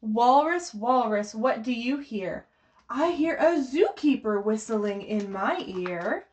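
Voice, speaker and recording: High-pitched, unhurried woman leading storytime. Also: lively intonation, darkish tone. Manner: encouraging, exclaiming, simple child-directed speech. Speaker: children's librarian leading a baby storytime. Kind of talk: sing-song picture book read-aloud and coaching for babies and parents.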